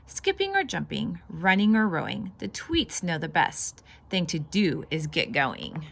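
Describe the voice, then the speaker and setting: A woman reading a children's picture book aloud as host, expressive and sing-song, stressing the rhymes and adding casual asides. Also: fairly low pitch, measured pace, creaky, a little hiss or hum.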